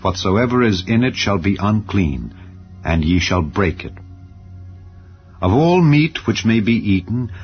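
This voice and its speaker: Deep-voiced man solemnly reading scripture. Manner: solemn, measured recitation. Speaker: Bible reader. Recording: dull audio under soft music. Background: faint background music.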